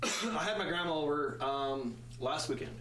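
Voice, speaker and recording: A man's voice: a little high, lively intonation, moderate pace, a bit bright, roomy sound, slight background noise. A man chatting on a podcast, rambling and stammering through crude jokes. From part Spanish and French heritage. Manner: rambling, stammers and repeats words, crude joking. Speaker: podcast guest. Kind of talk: casual chat.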